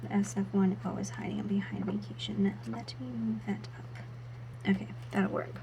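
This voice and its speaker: A woman vlogger narrating her planner setup in a soft, half-whispered voice, her throat still a little sore. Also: mid-range voice, little pitch movement, moderate pace, gravelly, noisy background. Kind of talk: casual voice-over while setting up a planner.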